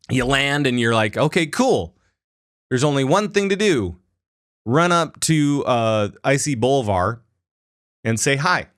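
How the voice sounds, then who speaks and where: Guy on a gaming podcast, animated, acts out the characters, dead dry mic. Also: medium pitch, clean tone, no room sound. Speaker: podcast co-host. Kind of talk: casual gaming podcast chat.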